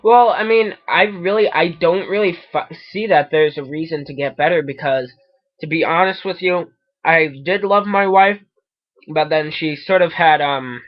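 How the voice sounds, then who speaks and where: Man acting as a self-pitying addict phoning a hotline: fairly high voice, stammering, rambling, lo-fi prank-call sound. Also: normal speed, close to an everyday voice. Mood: self-pitying, halting. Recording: muffled lo-fi call recording.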